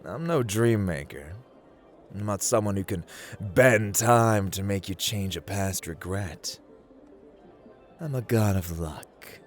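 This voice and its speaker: A man playing a playful, arrogant god of luck in an ASMR roleplay, with a teasing, drawling, condescending manner and dramatic pauses. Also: fairly low pitch, soft background music. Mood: condescending, musing. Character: playful, arrogant god of luck, amused and dangerous gambling master. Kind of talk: ASMR roleplay, theatrical monologue to listener.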